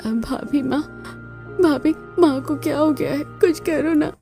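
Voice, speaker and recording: A woman voicing an eager, excitable young sister-in-law in a cartoon story, exclaiming quickly and playfully. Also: sits high, creaky, soft background music, pitched above the performer's own voice. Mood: panicked. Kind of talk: Hindi cartoon story acting.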